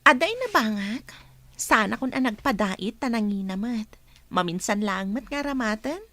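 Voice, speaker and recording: A woman's voice: on the high side, expressive, moderate pace, sharp tone, no room sound. A woman with an Ilocano accent playing a sociable young friend in a radio drama. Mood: teasing.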